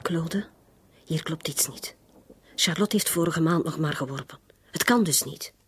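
A woman's voice: sits low, a little slow, breathy edge, exaggerated voice. An actress playing a worried, stern middle-aged wife and mother, speaking pointedly in a radio drama. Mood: suspicious, insistent. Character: worried, insistent mother and wife, sharply suspicious.

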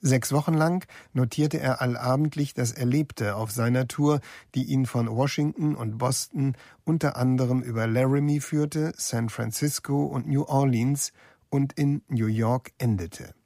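A man's voice: medium pitch, unhurried, dark tone, dry recording, studio quality. A man narrating a scripted radio documentary in a factual, composed manner.

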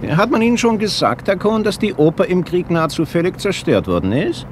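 Eager city guide, swooping pitch. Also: male voice, very animated, brisk. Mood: eager, proud. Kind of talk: radio play acting. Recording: clear street and vehicle noise. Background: traffic ambience.

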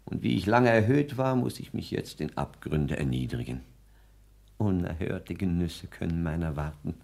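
A deep-voiced, pompous self-styled artist. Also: male voice, expressive, moderate pace, gravelly, a little mellow, full character voice. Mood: grandiose, exalted. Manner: high-flown, solemn, declamatory. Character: pompous self-styled artist lodger, a grandiloquent man of action. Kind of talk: 1960s German radio play acting.

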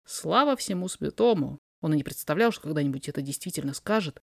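A narrator reading a fiction story aloud in an expressive, dramatized way. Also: medium pitch, fast, creaky, no room sound, studio quality. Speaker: podfic reader, narrator.

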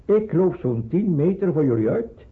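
A man's voice: fairly high pitch, very animated, normal speed. Nuchtere verzetsman, zeer beweeglijke intonatie. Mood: businesslike. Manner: matter-of-fact, instructing. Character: practical, cautious male resistance helper guiding refugees. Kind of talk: Dutch radio play acting. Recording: muffled narrowband old radio play.

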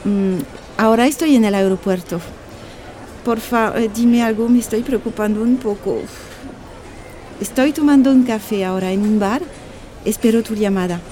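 A woman's voice: a little high, moderate pace, pauses often, mellow, a little hiss or hum, natural voice. A woman playing a worried journalist, hesitant and pleading, in an audio drama. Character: worried young female journalist seeking her boyfriend.

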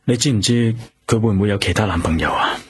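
A husky, patient police detective. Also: male voice, fairly low pitch, fast. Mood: calm. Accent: Hong Kong Cantonese. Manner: calm, patient questioning. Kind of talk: radio drama acting.

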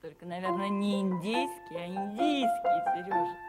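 Mother played by an actress for radio drama: patient, affectionate, instructive, mid-pitched, prominent music behind. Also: expressive, normal speed, natural voice. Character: patient, gentle mother teaching her young son piano. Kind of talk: 1970s radio play acting.

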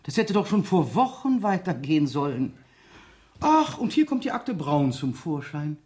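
An actor playing a stern, fussy police superior in a radio crime drama, commanding, formal and sarcastic. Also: male voice, on the high side, very animated, normal speed, a little mellow. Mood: irritated. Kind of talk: 1970s German radio crime drama acting.

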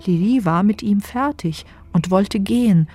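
A woman's voice: mid-range voice, measured pace, a little mellow, soft background music. A woman reading scripted literary narration as the narrator of a radio drama.

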